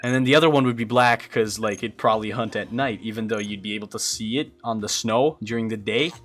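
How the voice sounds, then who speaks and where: A man's voice: fairly low pitch, fast, fairly bright tone, soft background music. A young man from Brazil, a streamer chatting casually and thinking aloud as he answers viewers, often stammering and restarting. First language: Portuguese. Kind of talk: casual livestream chat while building a game creature.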